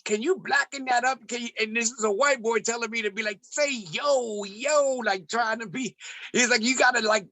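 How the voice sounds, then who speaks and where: Man, middle-aged, interview guest, rhythmic speech, precise pronunciation, bright tone, dry close sound. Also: very high voice, moderate pace, dry recording. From United States, raised in Ethiopia. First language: French-influenced; relearned English as a teen. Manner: articulate, rhythmic delivery with careful pronunciation. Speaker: interview guest, performance poet and musician. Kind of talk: reflective interview conversation.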